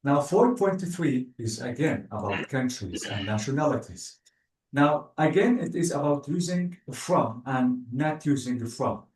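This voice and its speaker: A man teaching an English class online, speaking slowly and patiently and praising the student often. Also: mid-range voice, expressive, a little hiss or hum. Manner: slow, patient, spells out word endings, praises with "bravo". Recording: echoey, distant room sound.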